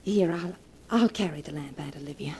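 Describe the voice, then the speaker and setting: A woman's voice: low voice, a little fast, dark tone. An actress playing a polite, nervous young woman in a 1940s radio horror melodrama. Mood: timid, hushed. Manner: polite, timid, anxious. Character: nervous young niece exploring a creepy old house. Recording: faintly noisy 1940s radio recording.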